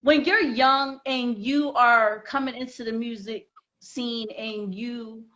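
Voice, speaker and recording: Bright, high-voiced woman hosting a chat. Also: fairly even intonation, slow, highs cut off. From Philadelphia. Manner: emphatic, repeats words while building a point. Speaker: host and singer. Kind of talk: casual interview chat.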